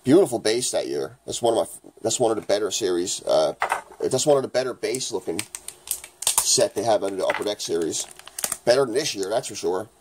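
A man with a raspy voice from the flu, chatting casually as he opens hockey card packs in his home kitchen. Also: fairly low pitch, fairly quick, slight background noise. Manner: chatty, rambling, excited at good pulls. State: raspy, getting over the flu. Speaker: hockey card channel host. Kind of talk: casual card-opening vlog.

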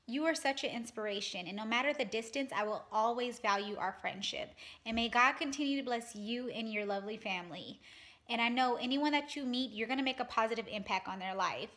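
Young woman, bright slightly high voice, sincere phone selfie at home. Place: indoors at home.

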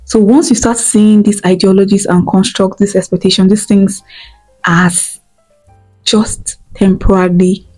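A woman's voice: mid-range voice, steady tone, unhurried, a little mellow, soft background music, rough recording. A young Nigerian woman in her twenties with an Igbo accent, giving an earnest motivational talk to camera on a lapel mic, repeating her points and checking in with "okay?". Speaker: vlogger. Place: indoor room at home.

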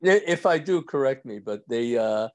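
Older rabbi with a thick New York accent, gravelly, chatty, on a home video call. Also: male voice, medium pitch, lively intonation, measured pace. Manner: rambling, joking, laughs often, many restarts. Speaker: rabbi teaching an evening class. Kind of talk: casual chat with class members. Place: indoors at home. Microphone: video call from a phone or webcam.

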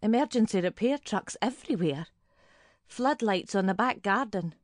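An actress playing a suspicious, fretful older woman neighbour in an audio drama monologue, brooding in clipped, deliberate fragments. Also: mid-range voice, lively intonation, no echo, studio quality, close to an everyday voice. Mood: aggrieved.

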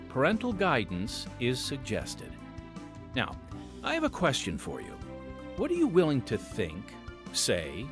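Male podcast host, scripted intro, animated intonation, light music bed. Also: mid-range voice.

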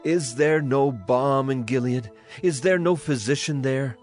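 Casting: solemn male prophet played by a man, declamatory, mid-pitched, dramatized scripture with faint music underneath. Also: measured pace, a little mellow, natural voice. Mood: grieving. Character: solemn prophet voicing a grieving, wrathful God. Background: music behind the voice.

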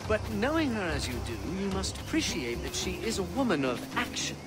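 An actor playing a cautious, formal merchant brother in a video game, measured and politely pointed. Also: male voice, high-pitched, sing-song. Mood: concerned. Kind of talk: video game drama acting. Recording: loud music behind. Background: music.

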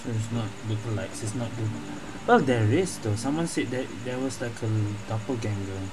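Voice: medium pitch, animated, moderate pace, noisy background. A gaming streamer giving casual playthrough commentary, hesitant and thinking aloud.

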